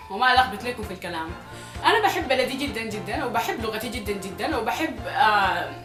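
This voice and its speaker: A young woman from Sudan talks straight to her viewers in a chatty vlog, her Sudanese Arabic accent carrying a few Egyptian traces. She speaks very fast, her voice sits a bit high and slightly hoarse, and she keeps repeating phrases for emphasis. The room sounds echoey, with music playing underneath. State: slightly hoarse from recent illness. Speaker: YouTuber. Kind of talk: casual vlog talk to viewers.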